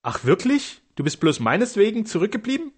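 A male narrator voicing Henry Cort, a scheming brigand on the run, with a blunt, mocking manner and a breathy voice. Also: high voice, sing-song, brisk, voice pushed up, full character voice.

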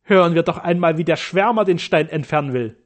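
A male narrator voices a hostile Spanish surgeon who sneers and mocks his rival. He turns loud and furious when insulted. The voice is pitched somewhat high for a man. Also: fairly quick, close to an everyday voice. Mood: sneering. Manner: scornful, mocking, shouting at times.

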